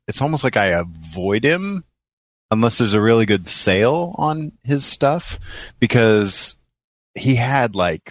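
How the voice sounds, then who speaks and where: Deep-voiced man in relaxed podcast conversation. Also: no room sound. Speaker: podcast co-host. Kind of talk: casual podcast chat.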